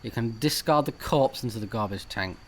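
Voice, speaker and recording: Playful male gamer, slightly low voice. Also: brisk, a little hiss or hum. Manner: playful, reactive. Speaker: let's play host. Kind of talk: game commentary mixed with reading text aloud.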